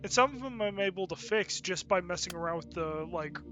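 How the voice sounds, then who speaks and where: A man's voice: high voice, expressive, brisk, bright, forceful tone, faint music behind. A man giving casual, unscripted game commentary as a streamer, rambling and thinking aloud as he goes.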